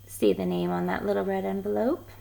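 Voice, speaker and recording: A woman reading a children's picture book aloud in an expressive storytelling style. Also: medium pitch, a little fast, gravelly. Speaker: story reader.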